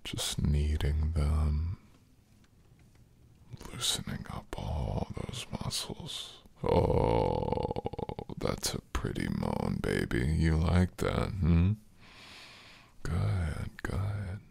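Very deep, gravelly, breathy boyfriend played by a man. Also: big pitch swings, a little mellow, slight background noise, exaggerated voice. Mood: soothing, sensual. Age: young adult. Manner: breathy, murmured, slow and soothing. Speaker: audio roleplay voice actor. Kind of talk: intimate boyfriend ASMR roleplay.

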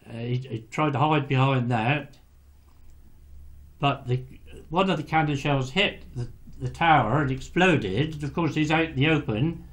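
An old former lighthouse keeper tells his memories at an unhurried crawl. He stops often, hunting for words between fillers, his voice sitting at an ordinary middle pitch. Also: a bit bright. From England. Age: older. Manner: halting, hesitant, many pauses and fillers. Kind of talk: recorded wartime recollection.

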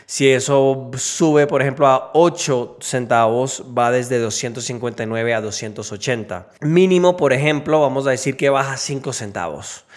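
Male video host, thirties, mid-pitched, conversational explainer on a close mic at home. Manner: conversational, checks in with tag questions. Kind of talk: casual explainer to camera. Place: small home room, indoors. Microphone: close desk microphone on an arm.

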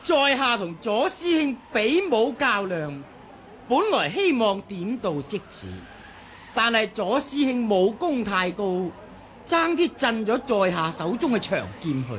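A falsely humble male sect leader, slow and courteous with a slippery warmth under every word. The voice sits a little high and rises and falls in wide, lively swings. A faint hiss from the old broadcast tape runs underneath. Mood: false modesty. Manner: measured, courteous, formal speech. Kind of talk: 1980s radio drama acting.

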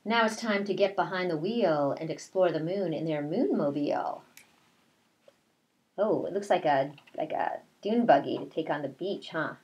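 Low-pitched woman reading a picture book. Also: lo-fi sound, a little hiss or hum. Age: middle-aged. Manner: deliberate, expressive teacherly reading. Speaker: kindergarten teacher reading story time. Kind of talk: read-aloud picture book with asides to children. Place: small bedroom at home, indoors.

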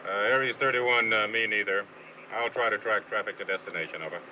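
A man's voice: on the low side, highs cut off, close to an everyday voice. A man playing a focused air traffic controller in a film, giving clipped, businesslike instructions with small hesitations. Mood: careful, official. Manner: measured, businesslike, with hesitant pauses. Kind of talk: clipped procedural radio talk in a film.

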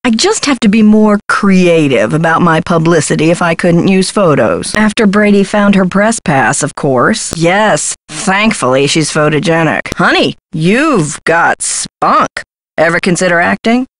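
Scheming female talent agent, lower-pitched, slangy, dismissive, brash, video game dialogue, close dry recording. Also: lively intonation, measured pace, no echo. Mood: scheming, enthusiastic. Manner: slangy, glib, condescending pet names.

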